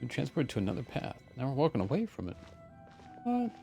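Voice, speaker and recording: A mellow-voiced streamer chats casually through a live game session, reading along and reacting. The pitch is very lively and animated, and faint game music plays behind. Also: brisk, vocal fry.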